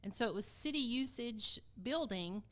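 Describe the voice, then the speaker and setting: A woman's voice: on the high side, expressive, normal speed, fairly bright tone. A middle-aged woman from Texas talking as a podcast guest, telling local history in a casual, conversational way. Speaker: podcast guest, community theater vice president of production.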